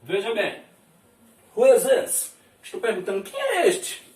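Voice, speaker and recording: A man's voice: high-pitched, wildly swooping pitch, measured pace, a little mellow. A male teacher from Brazil giving a classroom lesson, repeating each phrase and checking in with his students often. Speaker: English teacher. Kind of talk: classroom lesson explaining words. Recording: echoey room, mic at a distance.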